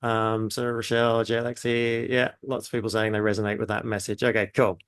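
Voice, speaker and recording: A man from New Zealand with a mixed New Zealand and British accent, presenting a live online masterclass in a chatty way, often pausing on fillers and speaking to the audience in the chat. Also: on the low side, flat delivery, fairly bright tone, clear, resonant tone, dry recording, studio quality. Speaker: webinar presenter.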